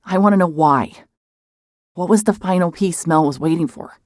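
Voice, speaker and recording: An actress playing a hard-hearted private investigator who stammers and restarts when anxious, also narrating in first person. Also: female voice, on the low side, normal speed, darkish tone, close to an everyday voice. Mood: urgent. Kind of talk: audio drama acting and first-person narration.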